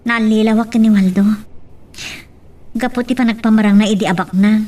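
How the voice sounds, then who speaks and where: A woman's voice: on the high side, steady tone, fairly quick, mellow, close to an everyday voice. A woman playing a nagging, envious poor wife in an Ilocano radio drama, with an Ilocano accent. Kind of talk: Ilocano audio drama acting. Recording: distant mic, faint noise.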